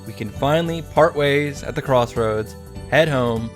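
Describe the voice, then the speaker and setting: A man's voice: mid-range voice, unhurried. A man hosting a casual scripted recap of a visual novel, with a wry, joking delivery. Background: faint music.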